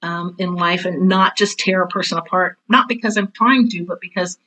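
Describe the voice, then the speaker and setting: Bright-voiced mature woman, candid and emphatic. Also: fairly low pitch, moderate pace, soft background music, rough recording. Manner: candid, emphatic, repeats phrases for stress. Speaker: interview guest, theater teacher and author. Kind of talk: casual personal storytelling in an interview.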